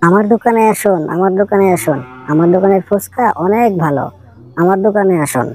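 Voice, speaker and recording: A woman's voice: mid-range voice, animated, quick pace, mellow, soft background music, natural voice. Quarrelsome cartoon snack seller, woman performer, repetitive insistent hawking, mid-range voice. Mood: pushy, bickering. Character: pushy, quarrelsome street snack vendor. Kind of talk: cartoon story acting.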